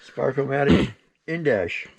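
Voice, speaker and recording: An older man with a very gravelly, creaky voice at a middling pitch. He talks casually, like a collector giving a show-and-tell of his things. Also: expressive, slow pace.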